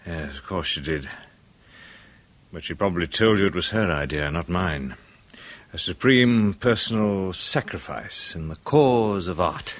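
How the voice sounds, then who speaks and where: A haunted, tormented man in a 1970s radio play. He stammers and breaks into bitter nervous laughs, his low voice rising and falling widely as he drags the words out slowly. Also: lively intonation. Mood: halting, troubled. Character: tormented middle-aged male musician, haunted and bitter. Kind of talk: 1970s radio drama acting.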